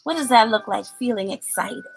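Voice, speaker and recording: A woman reading a picture book aloud to children as their teacher, expressive and exaggerated, coaxing them along with questions. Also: on the high side, brisk, gravelly, faint music behind.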